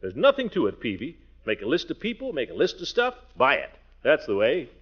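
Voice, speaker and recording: A man's voice: medium pitch, huge pitch swings, fairly quick, slightly rough, exaggerated voice. A hearty, self-important middle-aged man of classic radio comedy. His theatrical delivery swings widely up and down, boastful and gossipy. The sound is the dull, narrow one of an old broadcast. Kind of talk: 1940s radio comedy acting.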